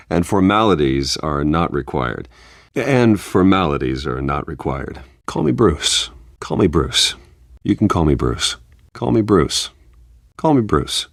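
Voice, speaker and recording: A man playing a suave, charming billionaire socialite in a video game, with a smooth, easygoing, flirtatious manner. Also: very deep voice, no echo, clean studio sound, heavily acted. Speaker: game voice actor. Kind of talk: polished game dialogue acting.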